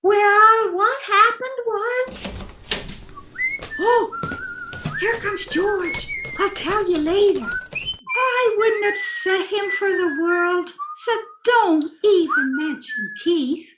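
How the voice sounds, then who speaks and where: A woman's voice: very high voice, very slow, noisy background, full character voice. A woman playing a scatterbrained, innocently chatty wife in a re-created 1940s radio comedy. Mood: exasperated, confiding. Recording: roomy, echoey stage-like sound.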